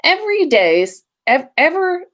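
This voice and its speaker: A woman's voice: sits high, sing-song, normal speed, fairly bright tone. A woman hosting a livestream, chatting casually and reading stories aloud in an informal, playful way. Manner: informal, playful, sweary, self-interrupting. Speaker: podcast host reading listener fan fiction.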